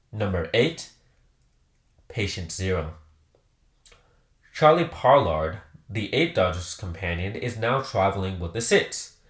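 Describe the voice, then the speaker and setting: Low-voiced man narrating with animated swings. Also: roomy sound. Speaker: video host ranking audio dramas. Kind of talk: scripted narration, countdown review.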